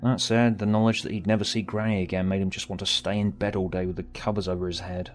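A man's voice: low voice, flat delivery, fast. A man reading a scripted story aloud as its narrator, with music behind him. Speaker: author and narrator.

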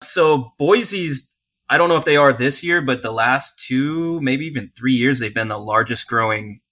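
A man answers interview questions in a casual, rambling manner, hedging as he goes. His voice has a bright, sharp edge. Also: medium pitch, unhurried. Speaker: food truck co-owner.

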